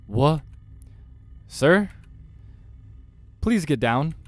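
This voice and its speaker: A man's voice: mid-range voice, very animated, a little fast, dry recording, voice pushed up, lightly acted. A man voicing a polite, slightly uneasy young waiter in a visual novel, speaking with customer-service courtesy. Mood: concerned, alarmed. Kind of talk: visual novel character reading. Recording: music behind the voice.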